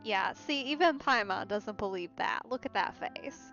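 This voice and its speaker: A woman's voice: mid-range voice, expressive, normal speed, a bit bright. A woman giving casual commentary as a game streamer, her voice slightly muffled. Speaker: let's play streamer. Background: faint game music.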